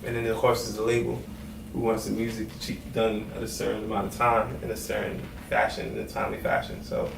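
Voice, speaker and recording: A man's voice: on the low side, fairly quick, gravelly. A man from Trinidad and Tobago, raised in Brooklyn, a music executive talking casually in an interview with a laid-back manner. He is recorded from a distant mic in an echoey room with noise. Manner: laid-back, conversational, many "you know what I mean".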